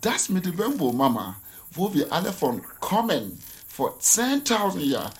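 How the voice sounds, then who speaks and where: An actor playing an eerie, rapt swamp mystic in a radio drama, speaking in broken, chuckling phrases. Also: male voice, high-pitched, wildly swooping pitch, a little slow, full character voice. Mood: rapt, gleeful. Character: eerie swaying old-world swamp mystic, gleefully visionary.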